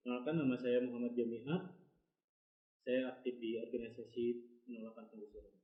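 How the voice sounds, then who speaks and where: A man's voice: mid-range voice, steady tone, a little fast. Brief: male activist guest, short and direct answers, tinny phone-like sound with room echo. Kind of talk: panel discussion. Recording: thin phone-like sound, echoey.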